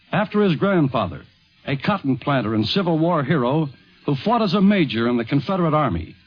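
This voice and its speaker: Animated high-voiced male 1950s radio narrator. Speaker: documentary narrator. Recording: dull vintage 1950s radio broadcast.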